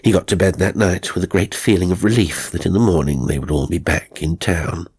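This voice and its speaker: A man reading a horror story as an audiobook narrator, in measured, suspenseful phrases that often fall to a hush. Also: low voice, breathy edge, no echo, clean studio sound. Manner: measured, suspenseful, breaking into short weighted phrases. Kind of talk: scripted dramatic horror narration. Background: faint music.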